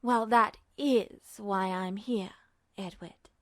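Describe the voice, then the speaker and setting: A woman performs an inhuman, all-powerful entity from a fantasy audio story. It drawls with icy condescension and calm menace, taking its time over each taunt. The sound is dry and close. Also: medium pitch, takes it slowly, no room sound, pitched below the performer's own voice, close to an everyday voice. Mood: cold, taunting. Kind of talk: audio fiction reading.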